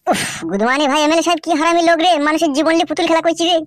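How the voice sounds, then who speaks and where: A woman's voice: extremely high pitch, fast talker, no room sound, studio quality. A woman playing a cheeky, foul-mouthed young male henchman in a comedy cartoon, with a West Bengal Bengali accent. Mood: scornful. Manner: cheeky, crude, gossipy.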